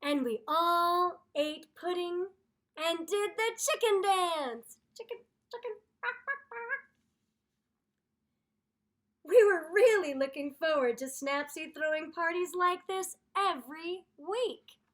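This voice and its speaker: A woman voicing a pesky, excitable chicken narrator in a picture book, fussy, theatrical and coy. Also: extremely high pitch, slow, voice pushed up, full character voice. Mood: excited. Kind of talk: picture book read-aloud.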